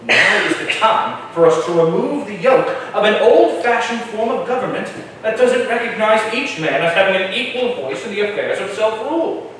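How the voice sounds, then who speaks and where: A confident colonial reformer, acted by a man argues his ideals in declamatory, persuasive fashion for a staged musical. His high voice swoops up and down, reaching the mic from a distance in a very reverberant room. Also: animated, normal speed, a bit bright, slight background noise. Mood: urgent, persuasive. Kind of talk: staged musical reading, spoken and sung. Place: live staged reading in a large echoey room. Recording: echoey room from far off, faint music.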